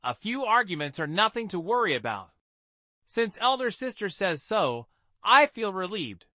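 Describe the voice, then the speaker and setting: A man reading a story and voicing a petulant, scheming young noble girl who shouts angrily and then turns falsely sweet. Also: sing-song, moderate pace, no room sound, heavily acted. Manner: shrill angry outbursts.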